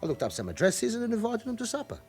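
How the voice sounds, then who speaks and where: An actor playing an evasive, chatty forty-year-old London club owner with an underworld past in a radio drama. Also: male voice, sits high, wildly swooping pitch, fast talker, darkish tone, faint music behind. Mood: affable, guarded.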